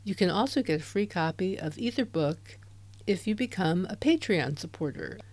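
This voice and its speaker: Woman host, measured scripted read, dry close sound, handheld mic. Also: fairly low pitch, animated, a little mellow, no echo. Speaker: podcast host. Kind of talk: scripted announcement. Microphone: handheld dynamic USB mic.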